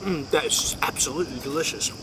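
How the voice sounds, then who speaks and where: A man's voice: a little high, quick pace, background noise. A man chatting casually and enthusiastically as a cheerful travel vlogger. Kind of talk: casual vlog chat. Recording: loud music behind the voice.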